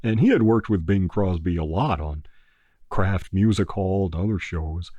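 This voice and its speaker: An older man rambles through a relaxed podcast chat in a very low, mellow voice. He takes his time and fills the gaps with "uh". The sound is dry and close, with no room around it. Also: no echo, highs cut off. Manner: unhurried, many "uh" fillers, breaks into crooning an old song. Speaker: podcast host.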